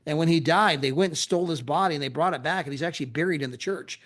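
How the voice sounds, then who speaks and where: A middle-aged American man giving an animated sales pitch for a travel club, piling up destinations and chuckling at his own asides. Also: quick pace. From USA.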